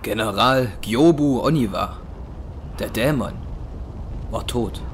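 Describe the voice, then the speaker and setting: A man's voice: medium pitch, takes it slowly, slight background noise. A man narrating a scripted dramatic action story as the narrator of an audio drama. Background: music.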